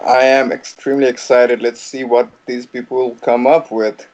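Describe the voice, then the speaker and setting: Excited casual man co-commentating. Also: mid-range voice, moderate pace, rough recording. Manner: casual, excited, says "like" often. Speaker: co-host and former contestant. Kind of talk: live online commentary.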